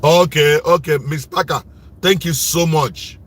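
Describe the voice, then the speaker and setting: A man with a Nigerian accent giving impassioned political commentary in a loud voice, forceful and repetitive, like preaching. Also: on the high side, fairly even intonation, a little slow.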